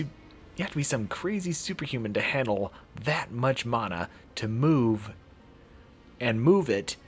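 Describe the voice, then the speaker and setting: A man voicing an excitable, incredulous visual novel character who argues in exasperation and bursts into high outcries. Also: a little hiss or hum.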